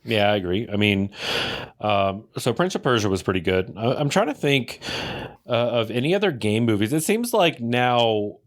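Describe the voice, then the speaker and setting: A man with an average-pitched voice co-hosts a casual podcast. He talks informally and gives his opinions freely, in a loose conversational style. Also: big pitch swings, moderate pace. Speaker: podcast co-host.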